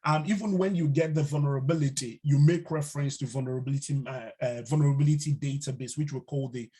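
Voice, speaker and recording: A man teaching a live online cybersecurity class, unhurried and full of fillers, now and then putting questions to the class. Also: medium pitch, fairly even intonation, mellow, slightly rough. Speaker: instructor. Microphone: video call mic.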